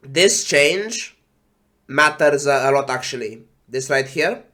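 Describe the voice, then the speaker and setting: A man who is sick and congested, sighing and talking slowly while casually reading game patch notes on a stream. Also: on the high side, sharp tone. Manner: slow, sighing, trailing off. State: congested with flu.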